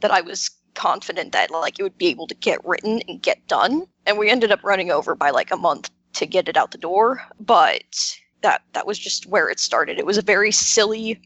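A woman's voice: fairly low pitch, animated, a little fast, few pauses, a bit bright, highs cut off. A woman chatting casually as a podcast panelist, explaining things informally and often interrupting herself. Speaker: podcast roundtable panelist, visual novel maker. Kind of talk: casual chat.

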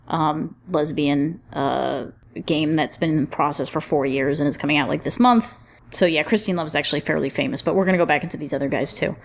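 A woman's voice: deep voice, a little hiss or hum. A woman hosting a casual roundtable chat in an informal, jokey, slangy way. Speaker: podcast roundtable host.

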